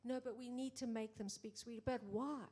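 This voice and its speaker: A middle-aged woman producer speaking in a conversation, animated, acting out quoted exchanges, with many restarts. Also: fairly high pitch, normal speed. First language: English. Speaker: film producer. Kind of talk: panel conversation.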